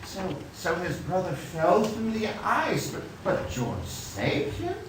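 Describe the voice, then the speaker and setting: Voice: mid-range voice, huge pitch swings, takes it slowly, a little hiss or hum. An actor in a radio play voicing a timid, kindly, very old apprentice angel, hesitant and deferential. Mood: polite, wondering. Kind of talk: stage radio play acting. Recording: very echoey theatre, distant, music behind.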